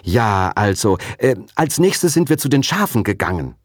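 A man's voice: medium pitch, big pitch swings, moderate pace, no echo, studio quality, voice pushed up. A man voicing an earnest, cheeky schoolgirl who tells tall tales insistently in a children's storybook reading. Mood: eager telling.

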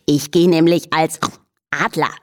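A woman's voice: deep voice, steady tone, measured pace, a little mellow, distant mic. A woman voicing a proud pig and a smug cat in a children's radio story.

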